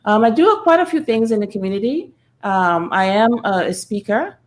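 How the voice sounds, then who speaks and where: A woman's voice: mid-range voice, big pitch swings, moderate pace. A middle-aged woman, explaining earnestly and conversationally as an interview guest. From Trinidad. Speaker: podcast guest, speaker and nonprofit founder.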